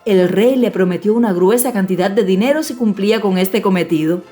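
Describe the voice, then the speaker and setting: A woman's voice: mid-range voice, a little fast. A woman reading a classic fairy tale as an audiobook narrator, in scripted storytelling style. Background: soft music.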